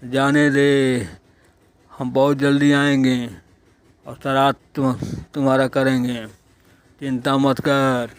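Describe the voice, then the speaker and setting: A man voicing a wary village priest in a cartoon, placating and bargaining with drawn-out words. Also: mid-range voice, little pitch movement, clear, resonant tone, natural voice. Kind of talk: Hindi cartoon story voice acting.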